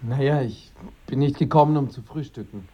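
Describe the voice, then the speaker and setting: A man's voice: mid-range voice, moderate pace, slight background noise, lightly acted. An actor playing a blunt male visitor in a radio drama, speaking in a plain, slightly hesitant way. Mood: blunt, casual. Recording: dull, muffled sound.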